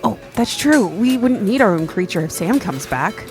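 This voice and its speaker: A woman playing a cautious, diplomatic young friend in an audio drama, with a casual, measured way of reasoning. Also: medium pitch, animated, music in the background, close to an everyday voice. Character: level-headed, cautious, diplomatic member of a young gang.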